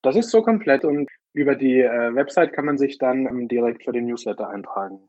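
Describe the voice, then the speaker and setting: A man with a mid-pitched voice gives informal answers as an interview guest. He speaks haltingly, searching for his words. The sound is dry and a little dull, lacking detail. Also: expressive, a little mellow, no echo. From Munich, Germany. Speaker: interview guest, newsletter co-founder.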